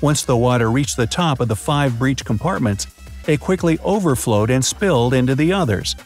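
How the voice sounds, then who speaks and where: Male voice-over narrator, scripted explainer, playful asides, mid pitch, soft music behind. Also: lively intonation, normal speed. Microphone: studio voice-over. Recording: faint background music.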